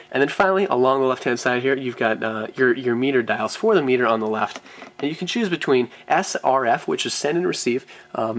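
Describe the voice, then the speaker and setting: A man presenting an unscripted product walkthrough as a reviewer, often pausing with ums and repeating words as he goes. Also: mid-range voice, fairly bright tone.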